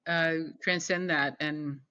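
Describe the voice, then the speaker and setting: Woman guest, bright voice, halting thoughtful talk, muffled lo-fi sound. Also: sits low, steady tone. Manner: thoughtful, halting with fillers and restarts. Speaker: podcast guest. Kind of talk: conversational interview.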